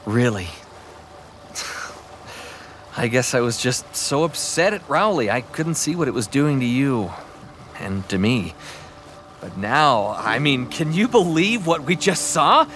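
A man's voice: mid-range voice, big pitch swings, slow, long pauses, fairly bright tone, a little hiss or hum. An actor playing an apologetic, earnest father and brother in a family audio drama. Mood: regretful, amazed.

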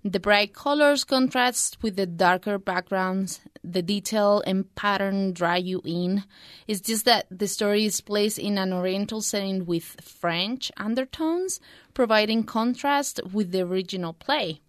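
A woman's voice: mid-range voice, unhurried, dry recording, studio quality. A woman in her twenties, a native Spanish speaker and student, giving a prepared book review. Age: 20s.

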